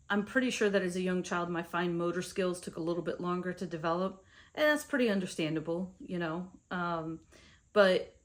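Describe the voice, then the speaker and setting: A young woman from India with an American accent, telling her own story to the camera in a casual, chatty way. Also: on the low side. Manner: conversational, with fillers and asides to the viewer. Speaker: vlogger.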